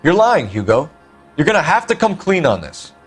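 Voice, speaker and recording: Earnest, animated police detective. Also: male voice, fairly high pitch, moderate pace, breathy edge, faint music behind, full character voice. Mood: accusing. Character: earnest, driven 1940s police detective, male. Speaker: video game voice actor. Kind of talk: dramatic period crime game acting.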